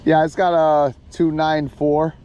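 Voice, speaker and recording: A man in his thirties, a fishing vlogger, chatting casually and swearing from his kayak, with excited whoops when a fish bites. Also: on the high side, measured pace. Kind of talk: casual outdoor vlog chat. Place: outdoors in a kayak on a river. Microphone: action camera on the kayak.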